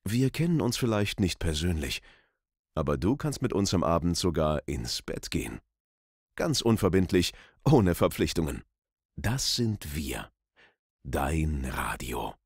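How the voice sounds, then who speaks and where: A man voicing a friendly, smooth radio station promo announcer with deliberate emphasis, close on a studio microphone. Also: low-pitched, big pitch swings, no room sound, pitched below the performer's own voice, heavily acted. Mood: intimate, weighty. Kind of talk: scripted radio promo read.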